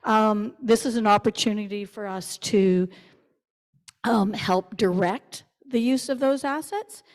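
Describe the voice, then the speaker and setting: A woman's voice: medium pitch, unhurried. Earnest woman speaking into a microphone. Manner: earnest, many fillers. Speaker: parishioner speaking from the floor. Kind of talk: public comment at a parish meeting. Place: church meeting room.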